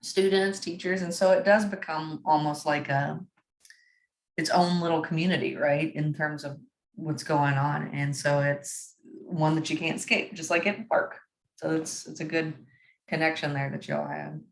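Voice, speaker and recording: A woman's voice: sits low, level tone, a little slow, echoey room, poor audio. A woman moderating a class discussion forum on a video call, talking conversationally and often checking in with "right?".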